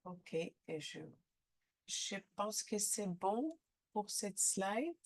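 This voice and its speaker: A woman with a head cold leads a live online training session, speaking haltingly with frequent filler sounds. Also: mid-range voice, big pitch swings. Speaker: seminar trainer.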